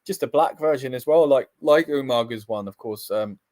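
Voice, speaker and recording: A man co-hosting a wrestling livestream, chatting casually and getting excited in bursts. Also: medium pitch, moderate pace, no echo, studio quality. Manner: excitable, talks in bursts with restarts.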